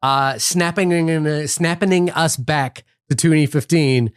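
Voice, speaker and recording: A man's voice: a little high, unhurried, clear, resonant tone, no echo, studio quality. Man with a mixed New Zealand accent. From Fiji and New Zealand. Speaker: podcast co-host. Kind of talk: casual film podcast chat.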